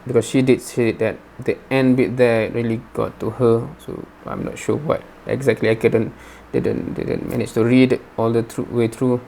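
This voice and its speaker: Guy doing reaction commentary, normal pitch, stumbles and restarts his sentences, bit of noise behind him. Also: mellow. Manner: halting, repeats and rephrases words. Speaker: reaction video host. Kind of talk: unscripted spoken commentary.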